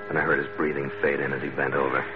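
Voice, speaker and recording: A man's voice: very deep voice, little pitch movement, fast. An actor playing a tough, wry sea captain who narrates his adventures tersely and vividly in 1940s radio drama style. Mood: tense, hushed. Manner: hardboiled, vivid storytelling, terse. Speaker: lead actor and first-person narrator. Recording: dull old radio recording, loud music behind.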